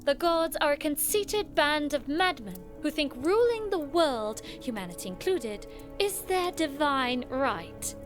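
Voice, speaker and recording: A young highborn woman studying magic in an audio drama. Her voice is very high and her manner is proud, witty and formal. Music plays behind her. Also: animated, unhurried. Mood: scornful. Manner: wry, haughty, formal speech.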